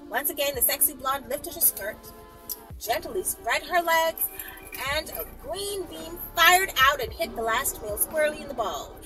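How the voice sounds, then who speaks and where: A woman's voice: high-pitched, animated, normal speed, recorded from a distance, over music, low-quality recording. A woman reading a story aloud in a dramatic style, with playful, teasing asides to her listeners. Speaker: storyteller, channel host.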